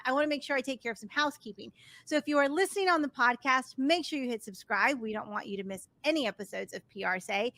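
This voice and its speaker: A woman's voice: fairly high pitch, bright, forceful tone, dry recording. A woman hosting a podcast, welcoming and enthusiastic as she introduces her guests. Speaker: podcast host.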